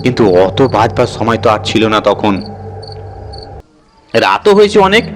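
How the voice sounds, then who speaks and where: A man's voice: medium pitch, background noise, lightly acted. A man voicing a boastful, good-natured uncle who tells his ghostly tale colloquially and teasingly in an audio story.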